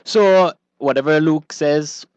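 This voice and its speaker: A man's voice: fairly high pitch, takes it slowly, a little mellow, clear, resonant tone, dry recording, lo-fi sound. A man giving his opinions as a guest in a radio studio discussion.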